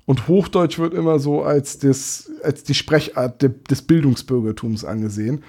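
A man's voice: medium pitch, moderate pace, mellow. A young man talking casually as a game master, explaining rules and rambling about dialects with frequent restarts. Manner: chatty, explains at length with many restarts. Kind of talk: casual chat about tabletop games.

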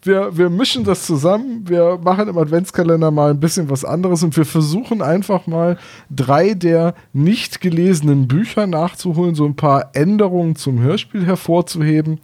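Guy co-hosting a podcast, fairly high voice, loose jokey chat. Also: moderate pace, darkish tone. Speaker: podcast co-host. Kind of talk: casual chat.

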